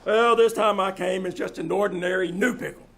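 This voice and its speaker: A man playing a lonesome, boastful millionaire in old-time radio comedy, with a halting, drawn-out delivery, recorded live on a theater stage. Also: high voice, steady tone, fairly bright tone. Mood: wistful boasting. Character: lonesome boastful pickle millionaire, rambling and self-amused. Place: small-town theater stage, live performance.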